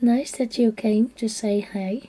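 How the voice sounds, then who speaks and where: A woman with a Dutch accent chatting casually as she colors for a live stream, soft-spoken and unhurried, now and then dropping to a quiet half-whisper. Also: on the high side, fairly even intonation, rough recording. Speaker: coloring channel host.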